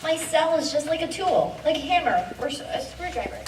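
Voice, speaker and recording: A woman's voice: sits high, expressive, brisk, background noise. A woman playing a curious, eager kid who loves learning, in an amateur radio play. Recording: echoey, distant mic, loud music.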